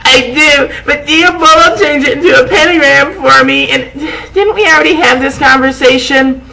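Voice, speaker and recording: Man doing a high, wailing teenage goth boy. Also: normal speed, vocal fry, bright, forceful tone, exaggerated voice, poor audio. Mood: exasperated.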